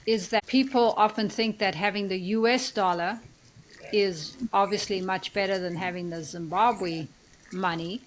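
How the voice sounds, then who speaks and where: A woman's voice: mid-range voice, fairly even intonation, unhurried, a little hiss or hum. A woman hosting a conversational interview, putting questions to a guest. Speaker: podcast host interviewing a guest.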